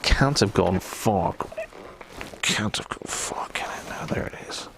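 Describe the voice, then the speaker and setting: Low-voiced British man, gravelly, muttering. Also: unhurried, in a whisper, rough recording. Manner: muttering to himself, rambling. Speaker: livestream host and radio hobbyist. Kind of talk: casual livestream chat while tinkering.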